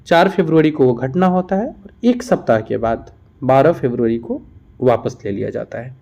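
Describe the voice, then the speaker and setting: A man's voice: medium pitch, animated, normal speed, vocal fry, warm tone. A man teaching an exam-prep lesson, reading out questions and explaining the answers. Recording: dull, muffled lo-fi sound.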